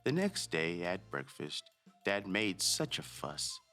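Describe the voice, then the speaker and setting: Male read-aloud host for kids. Expressive, direct address, slower pace, big swings in pitch. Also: faint music behind. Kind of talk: children's storybook narration and chat to young listeners.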